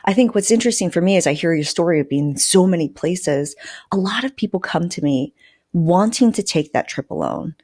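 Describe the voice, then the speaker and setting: Low-voiced woman hosting a podcast. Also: expressive, brisk, mellow. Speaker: podcast host and interviewer.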